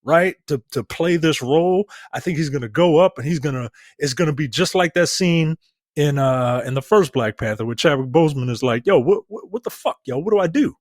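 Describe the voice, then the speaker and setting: A man's voice: fairly high pitch, expressive, a little fast, dry recording, clean studio sound. A man co-hosting a podcast in casual chat, emphatic and swearing, repeating his points to drive them home. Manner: emphatic, repeats himself, profane. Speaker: podcast co-host.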